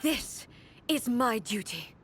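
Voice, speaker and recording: A woman playing a proud, resolute immortal goddess warrior in a video game, speaking in a formal, grave and measured manner. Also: on the high side, sing-song, a bit bright, faint music behind, exaggerated voice. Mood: solemn resolve. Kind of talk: English game voice acting.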